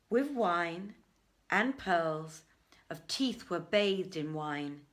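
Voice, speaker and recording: A woman reading an archaic literary tale aloud in a theatrical, measured way with deliberate pauses. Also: medium pitch, fairly bright tone.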